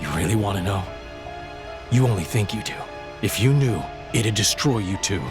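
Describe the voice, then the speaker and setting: An actor playing a weary, cold, brooding warrior antagonist in a video game cutscene. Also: male voice, lowish voice, normal speed, over music, lightly acted. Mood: bitter.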